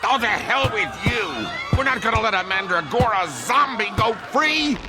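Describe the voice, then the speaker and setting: A man's voice: sits high, big pitch swings, moderate pace. An actor playing a hateful townsman from a mob in a video game, harsh and jeering. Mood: furious. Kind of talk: dramatic video game cutscene acting. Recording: loud music behind the voice.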